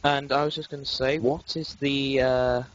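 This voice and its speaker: A man asks questions over voice chat, hesitating as he hunts for the right word. His voice sits at a middle pitch. It comes through muffled and lo-fi, with faint hiss. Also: little pitch movement, moderate pace. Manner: hesitant, searching for words. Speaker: listener asking questions. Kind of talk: casual voice chat.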